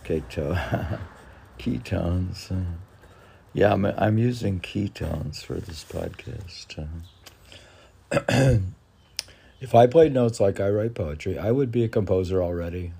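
A man reading his own poetry on an informal podcast, rambling and talking to himself in a halting, musing way, often clearing his throat. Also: deep voice, dark tone. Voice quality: gravelly, husky.

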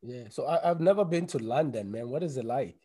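A man's voice: medium pitch, expressive, fairly quick, dark tone. A man hosting a soccer podcast, friendly and teasing as he asks his guest questions. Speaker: podcast host. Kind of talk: podcast interview.

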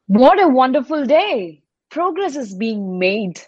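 A woman playing a composed, scheming doctor in an audio drama, with deliberate, theatrical delivery. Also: sits high, very animated, breathy edge, dry recording, muffled recording, full character voice. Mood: composed, calculating. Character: composed, scheming female doctor with big plans. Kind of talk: amateur audio drama dialogue.

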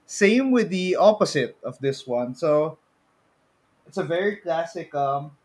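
A man co-hosting a podcast in casual chat, often halting and repeating his phrases as he restarts. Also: fairly high pitch, expressive, a little mellow, breathy edge. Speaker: podcast co-host. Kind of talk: casual chat about video game movies.

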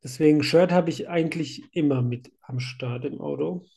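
Chatty, mellow-voiced man with a cold. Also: fairly high pitch, moderate pace, gravelly, breathy edge. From Germany. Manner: chatty, laughs often, rambling. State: has a cold. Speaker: podcast host, site manager. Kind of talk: casual podcast chat.

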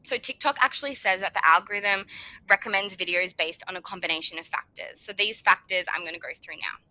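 A young woman hosting a solo podcast, giving chatty, upbeat advice, her voice slightly hoarse. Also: medium pitch, brisk, pushed and bright, rough recording. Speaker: podcast host. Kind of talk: solo marketing podcast talk.

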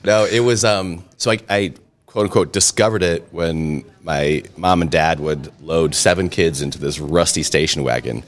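A middle-aged man with a remarkably deep, low voice. He tells long stories in a relaxed, chatty way, often joking at his own expense. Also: normal speed. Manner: chatty, self-deprecating humor, frequent restarts. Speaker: interview guest, restaurant and brewery owner. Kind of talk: casual storytelling interview.

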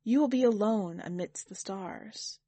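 Woman voicing a calm, grave machine superintelligence. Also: medium pitch, a little slow, breathy edge, no room sound. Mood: grave, measured. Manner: formal, even delivery.

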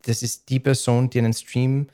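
A man's voice: medium pitch, level tone, moderate pace, warm tone. A man speaking soberly and at length, with a dialect and frequent restarts. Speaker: streamer. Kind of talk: conversation.

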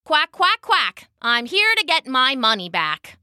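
A woman's voice: sits high, big pitch swings, measured pace, pushed and bright, no echo, studio quality, voice pushed up, exaggerated voice. A woman doing a brave little quacking duck. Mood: chanting. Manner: earnest, chanting quacks. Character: brave little male duck demanding his money.

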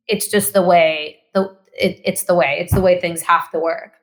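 A woman's voice: fairly low pitch, fairly even intonation, normal speed. A woman from Mexico whose first language is Spanish, talking earnestly as a podcast guest and often restarting and repeating phrases for emphasis. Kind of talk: casual podcast conversation.

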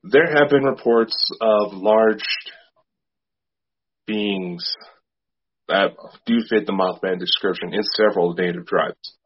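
A low-voiced, husky man co-hosting a podcast, filling in folklore details in an even, unhurried way. The recording is dull and lo-fi. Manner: measured, explains folklore, unscripted. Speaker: podcast co-host. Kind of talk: casual chat.

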